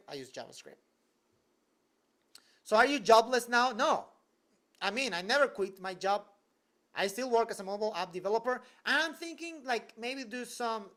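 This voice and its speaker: A man's voice: sits high, fairly bright tone. Joking young man streaming. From Venezuela. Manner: loose and joking, heavy use of "like". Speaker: YouTuber answering live chat. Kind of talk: casual livestream Q&A. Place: home bedroom at his desk.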